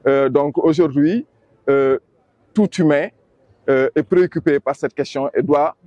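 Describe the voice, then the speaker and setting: Senegalese official guy explaining formally, lots of pauses, deliberate, dry close sound. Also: fairly high pitch, warm tone, dry recording, lo-fi sound. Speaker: regional development agency planning official. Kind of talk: formal press statement.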